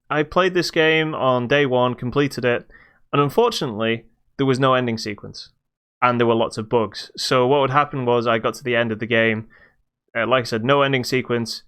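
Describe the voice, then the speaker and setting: British man, clear voice, casual game review. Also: medium pitch, steady tone, moderate pace, fairly bright tone. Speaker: podcast host reviewing a video game. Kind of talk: casual podcast review chat.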